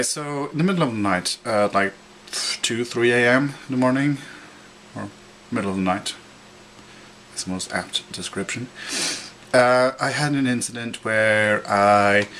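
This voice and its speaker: A man's voice: on the low side, bright, forceful tone, a little hiss or hum. A young man from Norway with a Norwegian accent, telling a rambling story in a casual vlog, full of fillers, restarts and chuckles. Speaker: vlogger.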